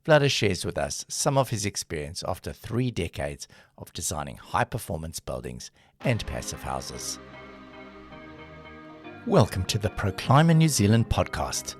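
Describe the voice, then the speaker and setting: Brief: male podcast host, very low voice with animated swings, upbeat questions, faint music underneath. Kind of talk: podcast interview, scripted intro.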